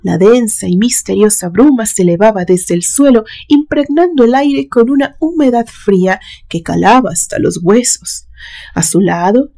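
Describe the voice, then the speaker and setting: Woman narrating a suspense story with deliberate pauses. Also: mid-range voice, mellow. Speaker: narrator, channel host. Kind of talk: dramatic suspense audiobook narration.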